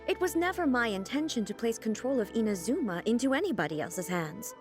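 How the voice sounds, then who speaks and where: An actress playing a calm, formal female ruler goddess in a video game, speaking in a measured, reflective way. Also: on the high side, soft background music. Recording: game soundtrack under voice.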